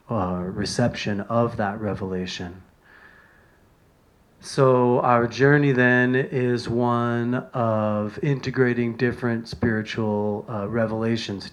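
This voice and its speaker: Low-voiced guy from sf, earnest, lots of ums and uhs, reading off bullet notes. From San Francisco, California. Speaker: video lecturer on spiritual writings. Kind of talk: casual talk from bullet notes.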